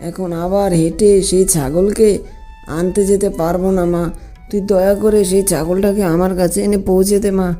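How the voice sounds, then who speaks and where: Elderly village man played by a woman, cartoon folk tale, fatherly, folksy, pleading, dark soft tone over music. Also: mid-range voice, fairly even intonation, normal speed, lightly acted.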